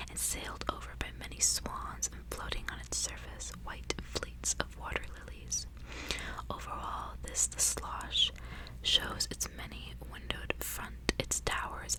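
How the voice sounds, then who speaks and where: A woman reading in a soft, breathy ASMR whisper, with slow, careful, hushed delivery, close to the microphone. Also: poor audio. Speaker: ASMR host reading a book aloud.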